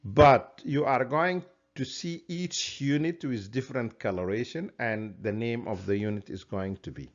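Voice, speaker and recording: A man's voice: medium pitch, moderate pace, hardly any pauses, highs cut off. A man teaching biology with a Sudanese accent that leans American, hesitant and full of fillers and restarts as he explains his course plan. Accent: Sudanese English with an American lean. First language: Arabic. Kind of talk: recorded lecture introduction.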